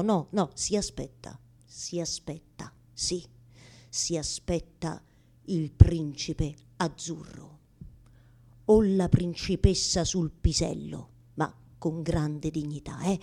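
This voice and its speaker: A woman's voice: fairly low pitch, warm tone. An actress performing a neurotic, lovelorn woman in a rambling, colloquial theatrical radio monologue addressed to God, full of repetitions and tag questions. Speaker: stage actress in a solo monologue.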